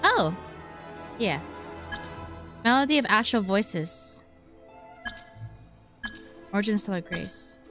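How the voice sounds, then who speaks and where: A woman's voice: mid-range voice, lively intonation, slow pace, pauses often, a bit bright, soft background music. A woman VTuber giving casual playthrough commentary, playfully musing aloud and reading game text.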